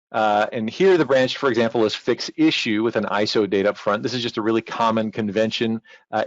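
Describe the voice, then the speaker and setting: A man's voice: mid-range voice, no echo. A man giving an informal, explanatory technical presentation online. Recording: dull lo-fi online-call audio.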